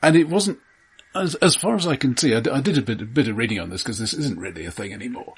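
A man co-hosting a film podcast, who talks in a measured, informative way and adds dry quips. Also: mid-range voice, lively intonation, slightly rough. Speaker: podcast co-host. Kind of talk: casual film podcast chat.

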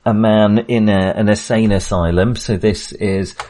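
A man with a fairly low voice hosts a casual film discussion. He speaks haltingly, pausing to search for words as he goes, in a conversational podcast style. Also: fairly even intonation, dark tone. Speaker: podcast host.

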